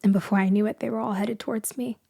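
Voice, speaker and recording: A woman playing a shaken, grieving shipwreck survivor in an intimate, halting ASMR storytelling style, with a soft voice that slips into whispers. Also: mid-range voice, fairly even intonation, creaky, slightly rough, no room sound, studio quality. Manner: halting, hushed, dramatic pauses.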